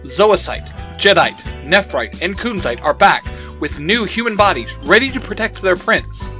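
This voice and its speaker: A man's voice: high voice, expressive, normal speed, sharp tone, faint music behind. A man delivering a scripted podcast promo as its host, in a hyped, dramatic manner.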